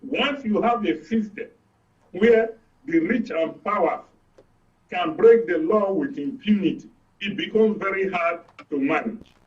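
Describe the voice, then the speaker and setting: A man's voice: sits high, measured pace. A man with a Nigerian accent giving his opinions as a guest in a political discussion, explaining emphatically and repeating points for effect. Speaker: guest commentator. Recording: dull, distant studio audio.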